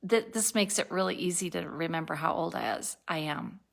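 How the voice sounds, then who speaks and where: A woman's voice: on the low side, fairly quick, creaky. A woman in her sixties, tired and a little spacey, rambling casually in a daily video diary and laughing at her own slips. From born in Turkey, raised abroad, lives in Colorado. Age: 60s. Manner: rambling, self-correcting, laughs at herself. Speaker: vlogger.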